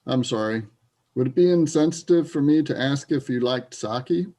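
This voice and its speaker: A man's voice: mid-range voice, moderate pace, dark tone, natural voice. A man playing a grieving, tipsy and guarded man in readers theater, speaking hesitantly.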